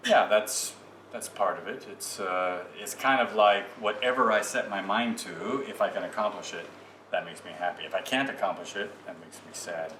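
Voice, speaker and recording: A man's voice: fairly low pitch, moderate pace, sharp tone. An American man talking casually as a guest in a group conversation. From United States. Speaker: guest in discussion. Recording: echoey room, slightly noisy, distant mic.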